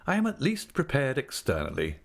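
A man doing a pompous, florid gentleman. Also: mid-range voice, huge pitch swings, a little fast, vocal fry, voice pushed up, exaggerated voice. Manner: grandiloquent and ornate.

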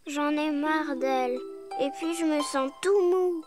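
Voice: high voice, takes it slowly. A performer voicing a little bear cub boy in a preschool cartoon, childlike, simple and prone to whining. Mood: sulky, tearful. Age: child character. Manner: childlike, simple, whiny when upset. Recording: music behind the voice.

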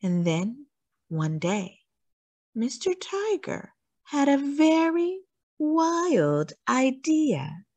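A woman's voice: high voice, big pitch swings, extremely slow, warm tone, no echo. A woman reading a children's story aloud expressively, stretching words out for theatrical emphasis.

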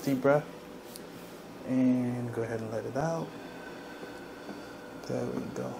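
Man, chiropractor with a gravelly edge. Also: mid-range voice, slow pace. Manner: calm, repetitive short cues. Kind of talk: soft-spoken instructions to a client during treatment. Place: small indoor treatment room. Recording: noisy room ambience.

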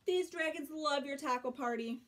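A young woman reading a children's picture book aloud, expressively, with drawn-out emphasis and dramatic pauses. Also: sits high, a bit bright. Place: small bedroom at home.

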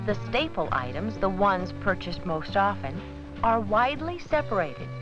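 A woman giving measured, scripted narration for an educational film about supermarket shopping. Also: mid-range voice, big pitch swings. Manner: measured, instructive. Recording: dull 1970s 16mm film soundtrack with music.

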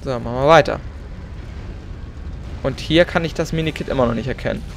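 Gravelly-voiced man doing casual gameplay commentary. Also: medium pitch, a little fast, a little hiss or hum. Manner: chatty, joking, mixes in playful English. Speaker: let's play gamer.

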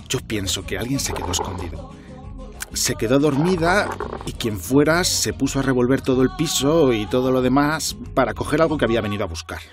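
A man's voice: mid-range voice, lively intonation, a little fast, music in the background, lightly acted. An actor playing a chatty, observant, slightly self-important doorman in a radio drama, talking colloquially with a repeated verbal tic. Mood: insistent, confiding. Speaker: building doorman.

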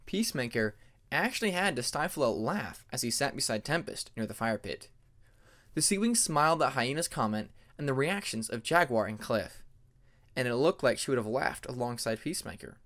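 Male audiobook narrator, scripted fantasy storytelling, expressive pitch movement. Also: moderate pace.

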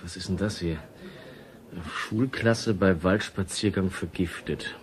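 A very low male voice as a wary newsroom boss in a radio drama. He speaks gravely and deliberately over faint background noise. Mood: grave, worried. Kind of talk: radio play dialogue.

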